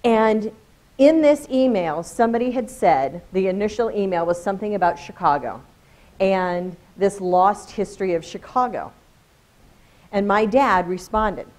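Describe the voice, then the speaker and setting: Loud, casual woman presenting at a conference. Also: medium pitch, a little slow. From Colorado. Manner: casual, energetic, joking with audience. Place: conference room.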